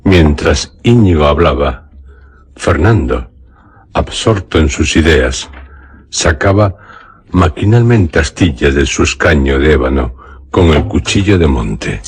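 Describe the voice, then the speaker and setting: A man's voice: a bass voice, expressive, slow, breathy edge. A man with a Castilian Spanish accent solemnly narrating a scripted suspense story for radio theatre.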